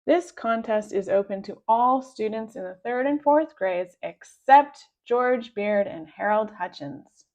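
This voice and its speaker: A woman's voice: on the high side, measured pace, few pauses, a little mellow, dull audio, close to an everyday voice. A woman voicing a smug, officious and cruel male school principal in a children's storybook. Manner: gloating, officious. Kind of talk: children's storybook read-aloud.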